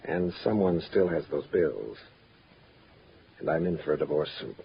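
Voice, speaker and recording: Deep, guarded wealthy businessman. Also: lively intonation, normal speed, gravelly, full character voice. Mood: rueful. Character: guarded, businesslike married man, wealthy engineer. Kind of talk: mid-century radio drama acting. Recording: muffled, noisy old radio recording.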